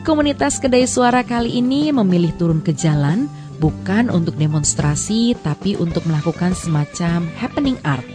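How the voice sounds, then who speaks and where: A woman's voice: fairly low pitch, expressive, moderate pace. A woman narrating and hosting a radio drama in a scripted but conversational style. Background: prominent music.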